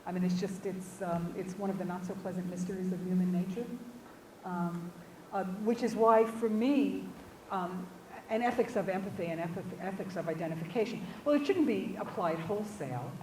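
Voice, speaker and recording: Low-voiced woman speaker in an echoey room. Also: darkish tone. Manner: halting, hedging, thinks aloud with repeated words. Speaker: visiting guest speaker answering audience questions. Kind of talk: informal academic discussion. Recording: echoey room, distant mic, clear background noise.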